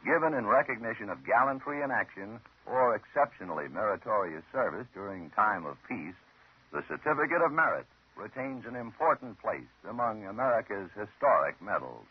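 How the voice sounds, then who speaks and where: Husky, animated male radio announcer. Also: lowish voice, a little fast. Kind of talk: formal 1950s radio announcement. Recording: muffled old radio recording.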